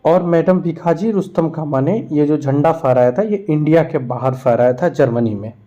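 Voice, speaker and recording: A man's voice: on the high side, normal speed, dark tone. A man teaching an exam-prep lesson, reading out questions and explaining the answers. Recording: dull, muffled lo-fi sound.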